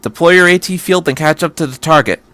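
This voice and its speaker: A man voicing a brisk, resolute female military officer in a story, commanding and matter-of-fact. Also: fairly high pitch, bright, forceful tone, dry recording.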